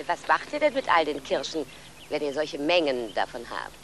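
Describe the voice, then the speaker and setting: A nosy, chatty village lady in a children's film. She wheedles and coaxes, with clear outdoor noise around her. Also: sits low, a bit bright, heavily acted. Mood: curious, sly. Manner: chatty, coaxing, chuckling. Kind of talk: German-dubbed children's film acting.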